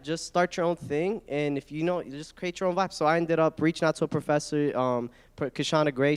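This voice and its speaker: A young male comedian with a slightly high, smooth voice tells stories in an informal, animated way. He speaks into a handheld mic, and a long hall echo follows his words. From Iraq. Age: young adult. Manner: informal, slangy, animated storytelling. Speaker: guest, stand-up comedian. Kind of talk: casual spoken anecdotes before an audience. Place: indoor event hall stage, small live audience. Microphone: handheld stage microphone. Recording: echoey hall through handheld microphone.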